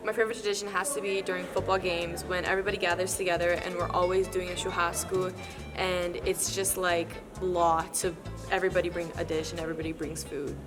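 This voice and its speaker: A woman's voice: on the low side, flat delivery, fast talker, over music. A teenage girl from Brazil giving plain interview answers with occasional fillers. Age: teens. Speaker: interviewed student.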